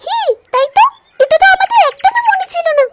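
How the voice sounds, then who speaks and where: A woman's voice: very high voice, expressive, fairly quick, very noisy. A woman voicing a playful, mischievous young crow chick in a cartoon, childlike and eager. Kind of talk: cartoon story voice acting.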